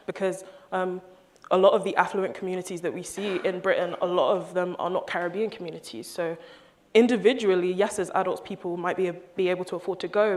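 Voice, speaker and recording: A young woman answering questions on a conference panel in a thoughtful, measured way with frequent ums. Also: lowish voice. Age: young adult. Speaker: youth panelist. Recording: reverberant conference hall.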